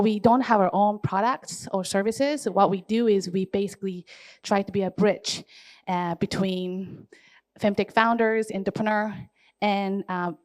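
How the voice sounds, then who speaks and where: A woman in her forties who grew up in East Africa, introducing herself on a panel at an even pace, with thoughtful pauses and fillers. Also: mid-range voice. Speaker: panelist, startup founder.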